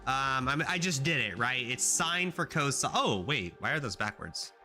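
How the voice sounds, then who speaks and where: A young man streaming himself coding, chatting casually with viewers, swearing and getting excited as he works. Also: mid-range voice, moderate pace. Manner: excitable, swearing, talks to chat while coding. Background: faint music.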